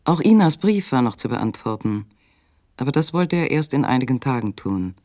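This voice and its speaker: A male actor as a detached literary narrator of a suspense radio play. His mid-range voice is measured and matter-of-fact, recorded very dry and close. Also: mid-range voice, very animated, a little mellow, dry recording, lightly acted. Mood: sober, detached. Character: sober, detached literary storyteller of a suspense tale.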